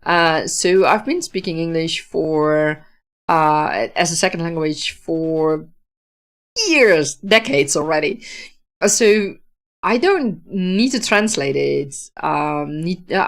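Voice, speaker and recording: A Dutch woman with a Dutch accent, casually hosting a livestream, answering viewer comments in a thoughtful way, with many fillers and restarts. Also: deep voice. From Netherlands. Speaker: livestream host, author.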